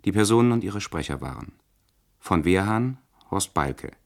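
A man's voice: low-pitched, expressive, normal speed. A man reading cast credits as a formal radio announcer.

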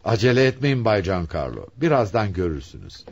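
An actor playing a shrewd, probing police commissioner in a radio play, questioning suspects in a deliberate, theatrical manner. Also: male voice, lowish voice, big pitch swings, gravelly, darkish tone, slightly rough, highs cut off, exaggerated voice. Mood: probing, intrigued.

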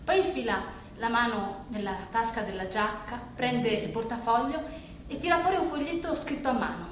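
Animated high-voiced woman in an echoey space. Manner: halting, conversational storytelling with dropped, hushed asides. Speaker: monologue actress and narrator. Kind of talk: bittersweet theatrical monologue in a colloquial first person. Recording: very roomy, distant stage recording, faint noise.

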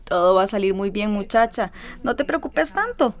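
A woman's voice: mid-range voice, animated, moderate pace, close to an everyday voice. An actress playing a kindly, worried mother in a radio soap, with a Costa Rican accent. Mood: reassuring. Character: worried, kindly mother and neighbor giving reassurance. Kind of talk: radio soap opera acting.

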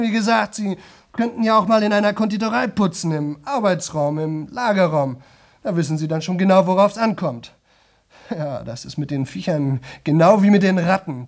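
A man's voice: on the high side, darkish tone. An actor playing a chatty young man who rambles nonstop to an audience in a radio play, colloquial, digressive and often interrupting himself. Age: young adult. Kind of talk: 1970 German radio drama monologue.

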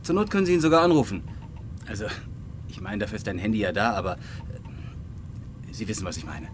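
Casual, hesitant surveillance technician. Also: male voice, on the low side, very animated, fairly quick. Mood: casual, hedging. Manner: hesitant, colloquial explaining. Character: casual, hedging male police surveillance technician. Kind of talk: naturalistic German crime radio drama acting. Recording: faint background noise.

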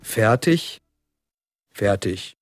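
An actor playing an intense narrator in an audio drama, declaiming grimly and repeating phrases insistently. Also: male voice, lowish voice, lively intonation, drawn-out words, soft background music, heavily acted. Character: intense male narrator, chanting and repeating grim war phrases.

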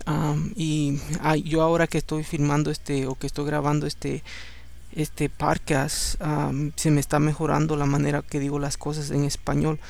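A man's voice: a little high, completely flat delivery, normal speed, vocal fry, a little mellow. A man whose first language is Spanish, tired late at night, reading scripture aloud as podcast host with conversational asides and English words slipped in. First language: Spanish, English second. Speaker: podcast host and Bible reader.

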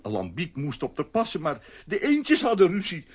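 A man's voice: on the high side, huge pitch swings, normal speed, breathy edge, exaggerated voice. An actor playing a flustered, cowardly blackmailer who stammers and whines his innocence in a children's radio play. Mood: nervous. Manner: stammering, whining.

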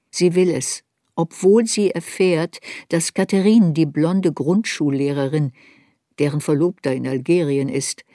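A woman's voice: low voice, dark tone, no room sound, clean studio sound. A woman playing a reflective narrator who looks back on a girl's story in a literary radio play. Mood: sober, intense.

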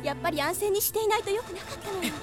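A lively, caring girl in a fantasy audio drama. Her girlish voice is extremely high and quick, with music behind it. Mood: worried. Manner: girlish, feminine phrasing. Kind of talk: Japanese fantasy drama CD acting.

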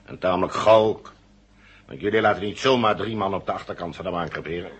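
A smug captor in a 1970s radio thriller. His voice is low and very rough-edged. He speaks with condescending, mocking courtesy. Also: fast talker, a bit bright, heavily acted. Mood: smugly menacing. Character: smug, coolly polite male villain holding captives. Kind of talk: 1970s radio drama acting.

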